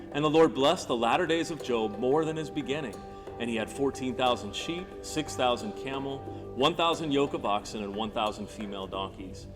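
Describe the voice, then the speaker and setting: A male narrator reads scripture in a scripted style, at an ordinary pitch. His voice carries a long hall reverb, and instrumental music plays clearly behind him.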